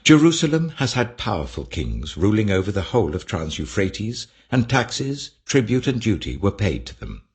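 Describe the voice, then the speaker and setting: A man's voice: fairly low pitch, big pitch swings, moderate pace, few pauses. A British-accented man voicing a stern, commanding king dictating a formal decree in a scripture audiobook. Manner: imperious, formal.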